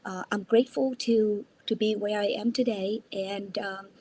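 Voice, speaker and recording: A woman's voice: mid-range voice, fairly even intonation, a little slow, mellow, husky. A jet-lagged woman in her fifties from Vietnam, a native Vietnamese speaker, telling her story earnestly as an interview guest on a video call from her mother's house. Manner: earnest, restarts words, tags sentences with "right?". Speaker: guest entrepreneur. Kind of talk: casual interview.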